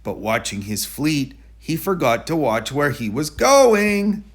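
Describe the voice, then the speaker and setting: A man reading a children's story aloud in an expressive, deliberate storytelling delivery. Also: fairly high pitch. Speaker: storybook reader.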